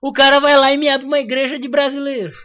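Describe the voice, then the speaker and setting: A man's voice: very high voice, level tone, rapid-fire, pushed and bright. A Brazilian man with a Brazilian Portuguese accent, hosting a casual opinion monologue in a blunt, slangy manner with free swearing. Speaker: channel host.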